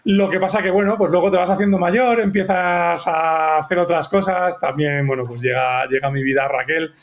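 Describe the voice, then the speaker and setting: A man's voice: a little high, fairly even intonation, normal speed. A man in his forties from Madrid, with a Madrid Spanish accent, chatting casually as a guest on a video call, rambling and laughing often. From Madrid, Spain. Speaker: guest photographer. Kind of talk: casual conversation. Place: home room. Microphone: video call, webcam.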